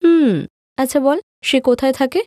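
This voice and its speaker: A woman voicing excitable, playful young twin sisters who chatter and exclaim in a cartoon story. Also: high-pitched, moderate pace, no room sound, studio quality, pitched above the performer's own voice, natural voice. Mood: excited, playful. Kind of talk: cartoon moral story voice acting.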